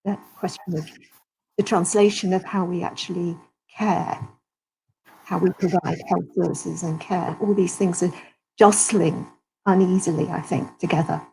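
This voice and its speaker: A woman literature scholar talking through ideas in an online seminar, thoughtful and animated. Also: on the low side, a little slow, gravelly, a little hiss or hum, poor audio. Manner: thoughtful, animated, academic phrasing. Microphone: video call.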